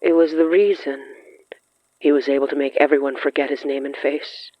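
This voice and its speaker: A narrator reading scripted horror fiction in the third person, brooding and dramatic, with lingering pauses. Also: medium pitch, fairly even intonation, dry recording. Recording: muffled lo-fi sound with music underneath. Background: music.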